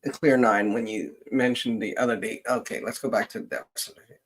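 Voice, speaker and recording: A man hosting a casual live genealogy session, explaining things in a halting, hesitant way and often trailing off mid-sentence. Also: on the low side, fairly even intonation, fairly bright tone, husky, low-quality recording.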